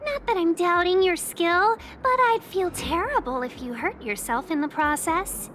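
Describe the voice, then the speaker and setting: A woman voicing a polite, playful pilot guide in a video game, courteous and measured as she gently coaxes. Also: very high voice, lively intonation, slight background noise. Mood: coaxing, encouraging. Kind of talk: scripted game dialogue.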